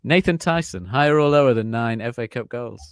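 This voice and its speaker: A man's voice: medium pitch, smooth, no room sound, clean studio sound. A man hosting a casual podcast quiz, teasing the players and drawing out his reveals. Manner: teasing, playful, drawn-out reveals. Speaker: quiz host.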